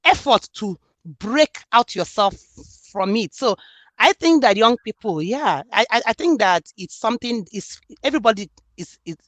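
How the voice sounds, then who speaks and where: A woman's voice: fairly high pitch, animated, normal speed, a bit bright, dry recording. A woman from Nigeria with a Nigerian accent, speaking earnestly and reflectively in an interview about her book. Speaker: author and student. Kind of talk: interview conversation.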